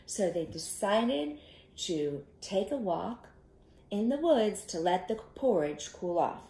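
A middle-aged woman telling an interactive story to young children, expressive and instructive, inviting them to join in, recorded in a living room. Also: medium pitch, slow pace, roomy sound. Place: small living room, indoors. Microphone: camera a short distance away.

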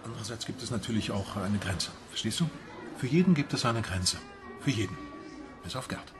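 A man's voice: lowish voice, animated, fairly quick, over music, close to an everyday voice. An actor playing an aggrieved man in a crime radio play, bitterly and insistently justifying himself to his lover. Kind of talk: radio crime drama acting.

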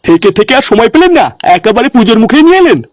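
A cartoon headmaster acted by a man who is polite but doubtful. His questions come briskly, with a hesitant, official manner. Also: extremely high pitch, big pitch swings, noisy background. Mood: reluctant. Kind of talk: comedy cartoon dialogue.

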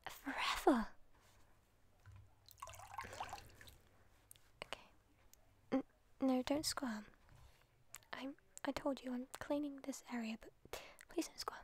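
A British woman playing an obsessive, lovesick captor in an intimate whispered ASMR roleplay, breathy, cooing and emotionally fragile. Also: high voice, a little hiss or hum. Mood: doting, possessive. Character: obsessive lovesick female captor, tender, volatile, doting on captive.

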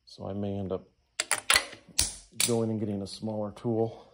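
Low, gravelly man muttering as he works. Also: level tone, a little slow, noisy background. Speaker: DIY engine rebuilder giving a how-to. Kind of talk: casual hands-on tutorial, talking while working. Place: indoor garage workshop.

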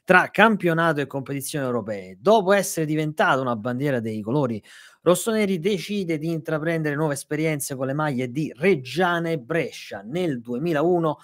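A man hosts a live football podcast, his voice sitting a little high for a man. He speaks into a studio microphone in a small home room and introduces guests with upbeat, announcer-like energy. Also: lively intonation, moderate pace, a bit bright. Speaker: podcast co-host. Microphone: studio microphone on stand.

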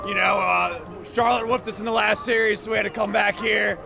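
High, gravelly, rowdy young male fan. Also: level tone, a little fast. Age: young adult. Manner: loud, rowdy. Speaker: sports fan. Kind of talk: excited interview. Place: outdoor baseball stadium. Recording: stadium crowd and music behind.

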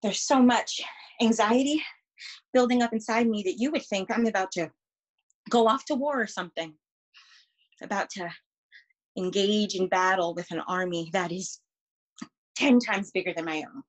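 A young woman performing a dramatic monologue as an anxious, bewildered girl, pausing deliberately mid-sentence. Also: medium pitch, close to an everyday voice, poor audio. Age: young adult. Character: anxious, bewildered girl alone in a stranger's room. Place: small room indoors at home. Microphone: webcam at arm's length.

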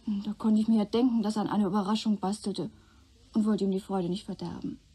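Actress as a mellow, husky young woman witness. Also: mid-range voice, steady tone, quick pace, close to an everyday voice. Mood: earnest, explaining. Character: guarded, loyal young woman giving witness testimony. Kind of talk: German radio play acting.

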